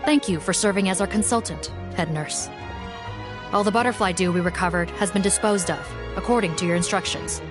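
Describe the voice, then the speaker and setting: A level-headed female officer, voiced by a woman, speaking at a middle pitch in a matter-of-fact way. Game music runs loudly behind her. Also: fairly quick, lightly acted. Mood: businesslike. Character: composed female police officer. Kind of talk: game cutscene voice acting.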